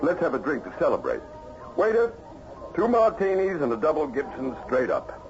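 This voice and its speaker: An actor playing a breezy, absent-minded professor and husband in an old radio drama, grandly theatrical. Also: male voice, fairly high pitch, wildly swooping pitch, moderate pace. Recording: dull old radio recording, faint noise.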